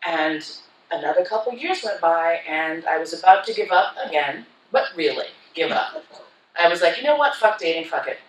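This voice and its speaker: A woman's voice: lowish voice, expressive, normal speed. A woman giving a keynote talk to a live audience, telling her story in a frank, profane and comic way. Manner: frank, profane and comic, acting out her own past lines. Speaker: keynote speaker. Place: conference hall. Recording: roomy hall, voice from far off.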